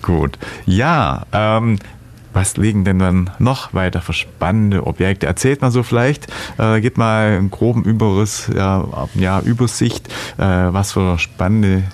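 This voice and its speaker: Low-voiced chatty male host. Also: a little slow. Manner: chatty, rambling questions with fillers. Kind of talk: casual interview.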